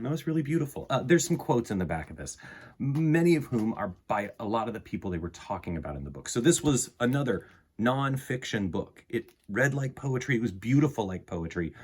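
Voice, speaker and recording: Deep voiced guy doing kids storytime, kinda husky, earnest and deliberate read aloud style. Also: a little mellow. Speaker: children's storytime host reading picture books aloud. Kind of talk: expressive storybook read-aloud and talk to children.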